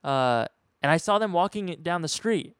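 Smooth-voiced man in his twenties, rambling. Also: a little high, lively intonation, normal speed, no echo, studio quality. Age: 20s. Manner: rambling, laid-back, swears casually. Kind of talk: casual chat.

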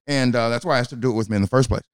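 A man's voice: mid-range voice, rapid-fire, clean tone, no echo. A man hosting a casual podcast chat, joking and rambling with fillers. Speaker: podcast host.

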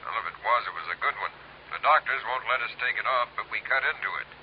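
A man's voice: medium pitch, lively intonation, fast talker. An actor playing a businesslike male police lieutenant, heard through a telephone filter in an old radio drama. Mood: brisk. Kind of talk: 1950s radio drama acting. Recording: dull, noisy old radio recording.

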